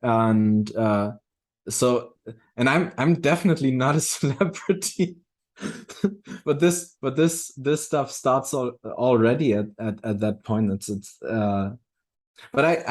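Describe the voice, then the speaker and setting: A man with a mid-pitched voice talks in a casual, conversational way. He is reflective and halting, often doubling back to restart a phrase before he finishes the thought. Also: lively intonation, darkish tone. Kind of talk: casual discussion.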